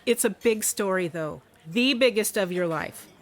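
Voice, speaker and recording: A woman's voice: medium pitch, lively intonation, moderate pace, lightly acted. An actress playing a shrewd, protective, commanding woman investigator in a contemporary radio drama, speaking firmly and directly. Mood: determined, scheming.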